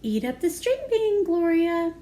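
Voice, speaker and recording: A woman voicing a kindly but firm mother in a children's storybook, gently instructing. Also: very high-pitched, animated, measured pace, slight background noise, pitched above the performer's own voice.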